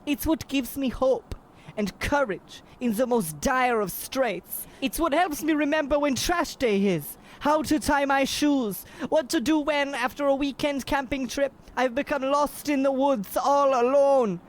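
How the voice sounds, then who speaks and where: High-voiced, pompous German boxer. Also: male voice, a little slow. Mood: proudly solemn. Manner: pompous, overwrought, flowery. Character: melodramatic, proud Bavarian boxer boyfriend, pompous and sorrowful. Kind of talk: old-time radio play parody.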